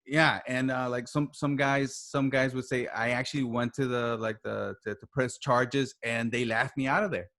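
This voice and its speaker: A man chatting casually as a podcast guest, speaking haltingly and repeating words as he searches for his phrasing. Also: mid-range voice, steady tone, a bit bright. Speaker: podcast guest, writer and voice actor. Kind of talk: casual conversation.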